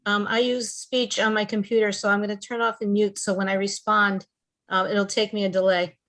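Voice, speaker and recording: A woman's voice: mid-range voice, level tone, a little fast, fairly bright tone. A woman giving a plain spoken introduction as a participant in an online meeting. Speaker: meeting participant, integrated care staff.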